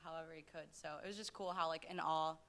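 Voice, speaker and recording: A woman's voice: sits low, fairly even intonation, fast talker, fairly bright tone. A young woman student rambling at a panel with many fillers. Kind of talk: panel discussion.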